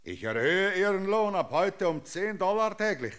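Domineering, sharp-voiced landowner captain. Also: male voice, high voice, big pitch swings, moderate pace, clean tone. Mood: stern, bargaining. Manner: commanding, abrupt, clipped questioning. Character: domineering, possessive landowner captain, brusque and grandiose. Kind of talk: German radio drama acting.

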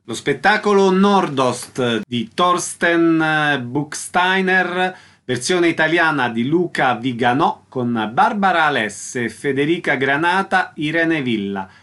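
A man's voice: a little high, animated, takes it slowly, pushed and bright. A man presenting cultural listings and interviewing a guest as a radio host.

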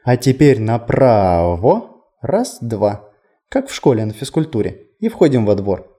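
A whimsical male city guide as a man reads him in an audiobook, chatting playfully and poking fun at himself. The voice is mellow and mid-pitched, with lively swings of intonation. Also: a little slow. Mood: brisk, inviting. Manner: conversational, playful, self-deprecating. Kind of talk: audiobook character dialogue.

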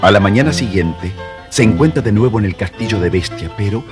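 A man narrating a scripted children's story in a measured, expressive way. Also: lowish voice, music in the background.

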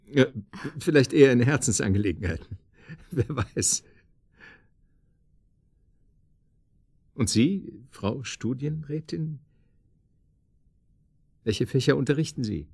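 Middle-aged suitor for radio drama: courteous, hesitant, coaxing, slightly rough voice, dry studio sound. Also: male voice, mid-range voice, lively intonation, unhurried, long pauses, a little mellow, no room sound, exaggerated voice. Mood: amused, probing. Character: eager, flirtatious man courting a blind date. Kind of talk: naturalistic radio drama acting, phone conversation.

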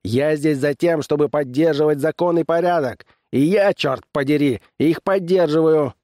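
A man's voice: medium pitch, moderate pace, dark tone, dry recording, clean studio sound, pitched above the performer's own voice, natural voice. A man voicing a stern, exasperated small-town constable who barks orders in an audiobook story. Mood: angry, authoritative. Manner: barking, stern, weary of troublemakers. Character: gruff, exasperated small-town male constable laying down the law.

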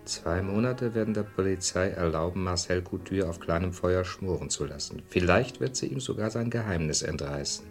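A man's voice: low-pitched, normal speed, hardly any pauses, soft background music. An actor playing a suspicious, suggestive male voice that prompts doubts in a German radio crime drama.

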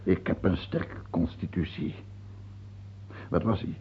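An actor playing a morbid, fearful king in a radio play, brooding and theatrical, with a husky, gravelly voice. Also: low voice, slow, full character voice. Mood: morbid, uneasy. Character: morbid, fearful French king haunted by death. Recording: muffled narrow-band old radio recording.

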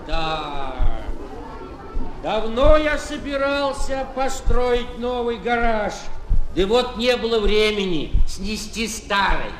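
A man's voice: very high voice, expressive, takes it slowly, fairly bright tone, a faint crowd, full character voice. A man playing a timid, kindly citizen who hesitates and repeats himself in a comic children's audio sketch. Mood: grateful, speechifying. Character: timid, kindly garage owner turned speechmaker. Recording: echoey, noisy old flexi-disc.

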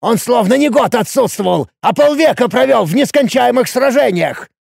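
A man voicing an enraged, panicking fantasy nobleman who shouts and snarls his curses in an audiobook. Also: sits high, very animated, normal speed, gravelly, no room sound, studio quality, pitched above the performer's own voice, heavily acted. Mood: furious, alarmed.

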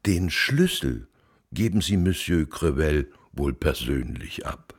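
An actor playing a shrewd, formal police commissioner in a radio drama, measured and admonishing. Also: male voice, a bass voice, expressive, husky, heavily acted. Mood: dry, admonishing.

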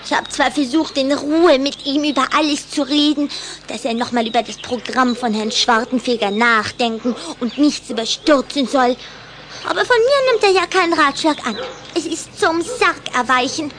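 A woman playing a smitten, headstrong little vampire girl in a German children's audio drama, speaking with coquettish, expressive emphasis. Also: sits high, normal speed, slight background noise. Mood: indignant.

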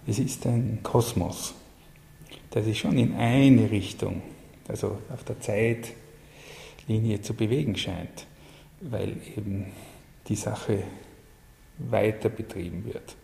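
A man's voice: on the low side, slow, gravelly, pauses often, mellow. A man speaking reflectively as an interviewee, recorded in a reverberant, echoing space.

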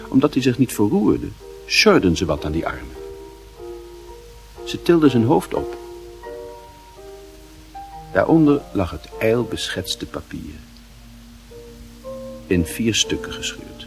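A man acting as the grave, reflective narrator of a literary radio play, husky and measured in his solemn delivery. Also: lowish voice, very animated, faint music behind, heavily acted. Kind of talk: scripted dramatic narration.

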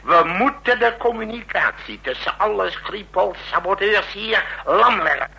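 An actor playing a commanding, brusque president in a Dutch radio drama, barking orders and grumbling asides. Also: male voice, high-pitched, lively intonation, measured pace, creaky, pushed and bright, husky, full character voice, low-quality recording. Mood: brisk, commanding.

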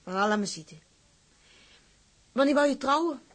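A woman's voice: high voice, animated, moderate pace. An actress playing a lovestruck, outspoken young woman from a seaside town in a drama, with blunt, insistent, girlishly colloquial speech. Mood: passionate, insistent. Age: young adult. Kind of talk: dramatized dialogue acting.

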